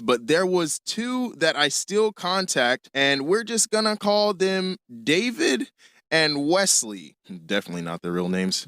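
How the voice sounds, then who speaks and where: A man's voice: medium pitch, a bit bright, dry recording, clean studio sound. A young man narrating his own life story in a casual, joking, self-mocking way, as a scripted storytime. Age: young adult.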